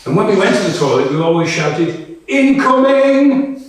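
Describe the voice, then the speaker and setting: A man's voice: sits high, sing-song, normal speed, pitched above the performer's own voice. A man reading the part of a weary, blunt woman soldier in a dramatic monologue, wry, conversational and sometimes profane. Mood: amused. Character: Tonya, weary, blunt female Ukrainian soldier. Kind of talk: dramatic monologue read to a live audience. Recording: very echoey room, distant microphone.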